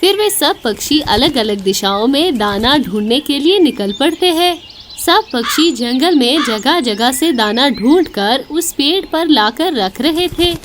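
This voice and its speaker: A woman's voice: high-pitched, lively intonation, a little slow, slight background noise. A woman reading scripted narration for a children's animal story.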